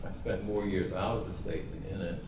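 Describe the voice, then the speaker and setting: A man from Georgia speaking as a panelist writer, unhurried and musing, with frequent ums and uhs. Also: low voice, little pitch movement. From Georgia, USA. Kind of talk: reflective panel talk. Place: event room in a library. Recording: echoey room with background noise.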